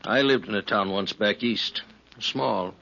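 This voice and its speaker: An actor playing a folksy, plain-spoken drifter in a 1950s radio western, talking in a colloquial way. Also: male voice, fairly low pitch, measured pace, vocal fry, bright, forceful tone, full character voice. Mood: wistful. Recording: muffled, faintly noisy 1950s radio recording.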